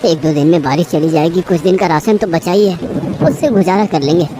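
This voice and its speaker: A woman's voice: fairly low pitch, brisk, over music, close to an everyday voice. A woman playing a troubled poor farmer, husband and father in a moral story, earnest and plaintive. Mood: reassuring.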